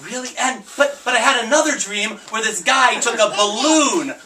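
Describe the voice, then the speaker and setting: A woman as an excitable high-voiced orphan girl. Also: normal speed. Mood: amazed, giggly. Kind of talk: live amateur puppet theater. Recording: distant live puppet show recording.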